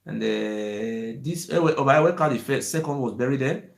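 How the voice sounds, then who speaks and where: A man, hosting his own channel and speaking in an impassioned monologue that often rises toward shouting. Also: mid-range voice, moderate pace, vocal fry, echoey room. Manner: excited, rising to near-shouting, repetitive "you see". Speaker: channel host.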